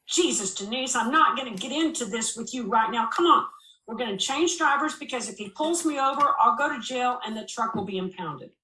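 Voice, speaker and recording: A woman's voice: on the high side, expressive, fairly quick, pushed and bright, recorded from a distance, a little hiss or hum, voice pushed up. Female reader as bossy male fugitive in an audiobook, impatient, pressing, raised voice, roomy sound. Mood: exasperated. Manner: urgent, exasperated. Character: impatient, bossy man on probation. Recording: roomy, echoing voice recording.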